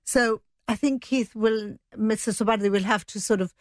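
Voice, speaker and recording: A woman's voice: medium pitch, normal speed, no room sound, studio quality. A woman giving conversational political analysis in a considered, matter-of-fact way. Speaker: political commentator.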